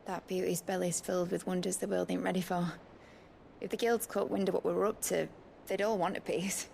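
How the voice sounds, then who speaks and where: An actress playing a brash, spirited young engineer with a British accent in a video game. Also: female voice, lowish voice, fairly even intonation, brisk, vocal fry, noisy background. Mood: brash, concerned. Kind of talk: video game drama acting.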